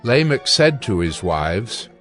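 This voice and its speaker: A man narrating scripture from a script in a measured, solemn manner. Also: on the low side, very animated, a bit bright, soft background music.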